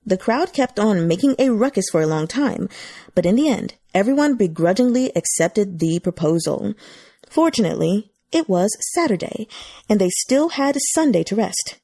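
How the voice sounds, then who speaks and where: A woman's voice: medium pitch, lively intonation, moderate pace, mellow, no echo, studio quality. A woman reading scripted fiction as an audiobook narrator.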